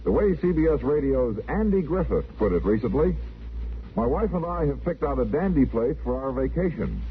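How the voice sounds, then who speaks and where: Old timey american radio promo guy, very animated delivery, thin noisy sound, polished sales read. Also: mid-range voice, normal speed. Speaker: network radio announcer. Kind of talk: 1950s scripted radio promo. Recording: noisy, tinny 1950s radio recording.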